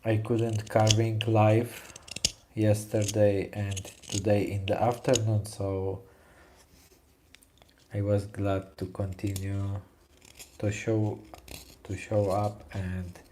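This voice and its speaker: A man from Poland with a Polish accent mixed with Italian, chatting softly in halting English on a live stream while he carves wood and answers chat questions. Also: lowish voice, monotone, noisy background. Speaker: woodcarving livestreamer.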